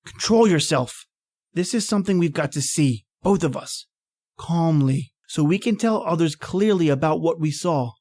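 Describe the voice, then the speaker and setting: A woman's voice: low-pitched, expressive, moderate pace, warm tone. A woman playing an impatient, rational professor in a radio horror play, with a theatrical, exclamatory manner. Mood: stunned, urgent. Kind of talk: old-time radio horror acting.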